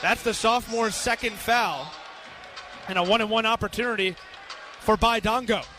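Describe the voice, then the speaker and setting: A man's voice: high-pitched, normal speed, soft background music. An American man doing live radio play-by-play of a basketball game, projecting like an announcer. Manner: projecting over crowd, announcing. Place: courtside in an indoor basketball arena. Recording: loud arena crowd noise, bouncing balls. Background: crowd, ball bounces.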